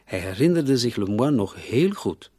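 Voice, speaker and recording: An actor playing a shrewd, taunting police commissioner interrogating a suspect in a radio play. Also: male voice, medium pitch, sing-song, moderate pace, warm tone, husky, no echo, studio quality. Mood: confident, probing. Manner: sardonic, taunting, with rhetorical tags.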